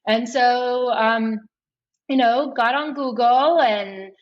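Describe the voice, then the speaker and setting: A woman's voice: fairly high pitch, slow pace, dull audio. A woman in her thirties from Switzerland, telling her story conversationally as an interview guest. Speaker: podcast guest, nonprofit co-founder.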